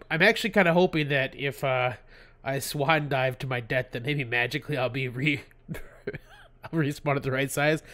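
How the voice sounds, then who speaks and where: A man's voice: mid-range voice, a bit bright, no room sound. A man with a stuffy cold hosting his gaming channel, chatting casually over gameplay, laughing often and full of fillers and restarts.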